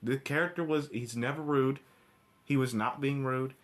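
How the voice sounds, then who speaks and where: A man with a medium-pitched voice delivers an informal, opinionated review rant. He is blunt and swears freely. His delivery is halting, circling back and restarting phrases as he goes. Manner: halting, with repeated restarts and backtracking; blunt, heavily profane. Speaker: cartoon episode reviewer. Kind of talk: informal ranting commentary.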